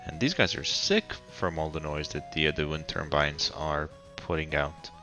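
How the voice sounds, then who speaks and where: A man, raspy from a cold, giving relaxed, halting commentary over a city-building game and often pausing with ums. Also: very deep voice, faint music behind. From Colorado. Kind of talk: casual gameplay commentary.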